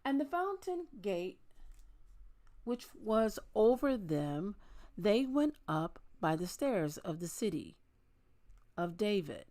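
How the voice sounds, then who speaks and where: A woman hosting a Bible reading, speaking in a measured, deliberate manner as she reads aloud and talks informally to listeners. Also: mid-range voice, big pitch swings, dark tone. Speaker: host of a daily Bible reading. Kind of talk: reading scripture aloud with casual asides.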